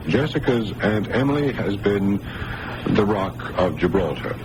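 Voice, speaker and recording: Casting: earnest male doctor, low voice with big inflection, radio drama, noisy recording. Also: exaggerated voice. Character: Bob Curran, earnest patient male doctor, also narrating. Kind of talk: 1980s radio drama acting.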